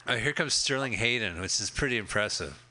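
A man's voice: fairly low pitch, lively intonation, a little fast, sharp tone. A man co-hosting a podcast, chatting casually and jokingly about a film as it plays, swearing often and rambling. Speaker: podcast co-host. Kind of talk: casual movie commentary chat.

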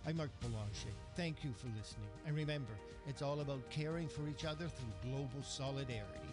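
Male host, mid-pitched, plain announcer read, music under. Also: fairly quick. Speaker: radio host. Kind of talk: program announcements. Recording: music behind voice.

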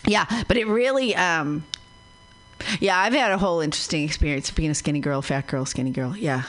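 A woman with a slightly low voice talks openly and bluntly about her own life. She sounds feisty and direct in a relaxed radio conversation. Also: brisk. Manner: frank, feisty storyteller. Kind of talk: casual chat.

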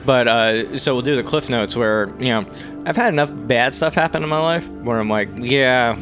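A man guesting on a talk radio show, rambling and halting, with crude jokes. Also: medium pitch, over music. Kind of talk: casual radio chat.

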